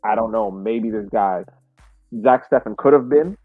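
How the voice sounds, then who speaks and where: An American man co-hosting a sports podcast, giving casual, measured analysis point by point over thin, phone-like audio. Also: fairly low pitch, no room sound, faint music behind.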